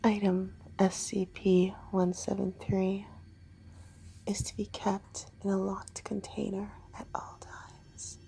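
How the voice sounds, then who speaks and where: A woman voicing a creepy, teasing fox girl creature held in containment, whispering slowly in an ASMR horror roleplay. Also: medium pitch, warm tone, slight background noise, low-quality recording. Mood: eerie, hushed. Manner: slow whispering, sinister teasing.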